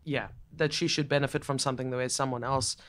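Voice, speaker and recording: A woman's voice: rumbling low, steady tone, fast talker, a bit bright. A middle-aged South African woman hosting a casual interview chat, speaking frankly, swearing now and then and repeating words as she thinks. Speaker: podcast host. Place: room in a coworking space.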